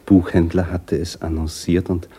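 An actor playing a courteous, melancholy middle-aged husband in a literary radio play, speaking haltingly with a breathy, husky voice. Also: male voice, sits low, flat delivery, dark tone. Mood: politely chatty. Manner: halting, hesitant, old-fashioned politeness. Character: melancholy, courteous ailing husband in a small town.

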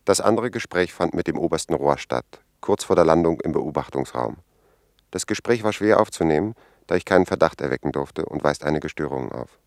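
A discreet secret agent in a 1950s radio play, with a low, gravelly voice. He is formal, deferential and restrained, heard close in a dry studio. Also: animated, a little fast, no room sound, exaggerated voice. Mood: sober, reporting. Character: guarded, deferential male secret agent reporting events. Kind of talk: 1950s radio drama acting, narrated report.

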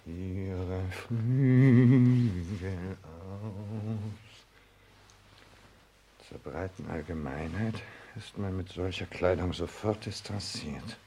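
An actor playing a self-important, calculating social climber, delivering a declamatory, brooding monologue in a 1960s German radio play. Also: male voice, deep voice, drawn-out words, dark tone, a little hiss or hum, exaggerated voice. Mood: scornful, envious.

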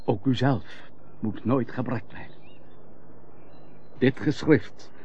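Slow, grave, wounded gentleman. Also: medium pitch. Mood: tender, restrained. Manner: grave, formal, measured. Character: wounded, noble, melancholy gentleman, betrayed husband. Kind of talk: old-fashioned melodramatic radio drama acting. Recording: muffled old radio recording, faint hiss.

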